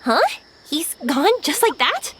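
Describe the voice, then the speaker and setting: Kind young female adventurer in a game: high, earnest, gentle, music underneath. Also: very animated, slow, exaggerated voice. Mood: amazed. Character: kind, spirited young woman, caring adventurer and friend. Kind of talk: video game voice acting. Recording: music playing behind the voice.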